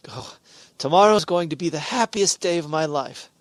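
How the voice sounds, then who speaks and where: Animated, doting radio sitcom husband voiced by a woman. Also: deep voice, moderate pace. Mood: doting, bewildered. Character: George Cooper, fussing, doting, bewildered husband. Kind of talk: old-time radio sitcom acting.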